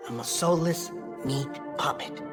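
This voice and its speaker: A man playing an earnest, melancholy scientist in a cinematic video game, speaking in a measured, confessional way. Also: fairly high pitch, lightly acted. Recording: music behind the dialogue.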